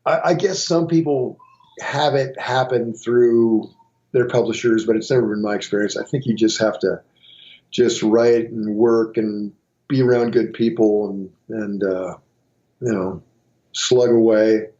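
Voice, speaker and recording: A man's voice: lowish voice, fairly even intonation, measured pace, mellow, lo-fi sound. A middle-aged man from Montana, a guitarist and songwriter, telling rambling stories in a casual interview with many restarts. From Montana, USA.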